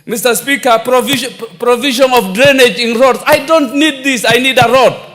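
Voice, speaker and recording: A Kenyan man with a notably high voice makes an impassioned speech to parliament, piling up rhetorical questions and turning back to the Speaker again and again. He speaks into a desk microphone, and the chamber's long reverb trails behind every phrase. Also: normal speed, pushed and bright. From northern Kenya. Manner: rhetorical, repeating appeals to the Speaker. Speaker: member of parliament.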